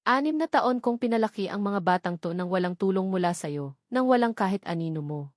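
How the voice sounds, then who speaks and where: A woman's voice: medium pitch, expressive, a little fast, dry recording, lightly acted. A resolute, bitter young single mother played by a woman storyteller in a romantic drama. She speaks firmly and pointedly, challenging her former lover. The recording is dry. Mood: bitter, indignant. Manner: firm, emphatic, challenging.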